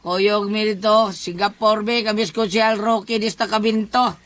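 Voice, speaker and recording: A man's voice: high voice, flat delivery, moderate pace, a bit bright. A man playing an evasive, grumbling town councilor with a Cebuano accent in a comedic radio drama, muttering excuses. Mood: flustered. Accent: Cebuano Filipino.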